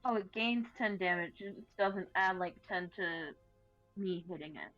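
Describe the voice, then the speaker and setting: A woman's voice: lowish voice, unhurried, fairly bright tone, no echo, faint music behind. A woman playing a tabletop game, chatting casually with hesitant questions on a slightly muffled mic.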